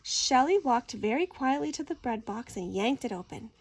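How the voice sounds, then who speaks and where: A high-voiced woman reads a children's story aloud. Her delivery is animated and theatrical, with wide swings in pitch and deliberate dramatic phrasing. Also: slightly rough. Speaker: story reader. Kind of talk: expressive children's picture-book read-aloud.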